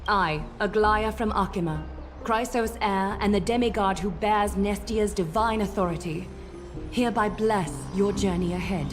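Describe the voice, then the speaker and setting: An actress voicing a solemn, regal demigod leader in a fantasy game, formal, measured and coolly composed. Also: female voice, medium pitch, lightly acted. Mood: ceremonial. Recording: game music behind the voice.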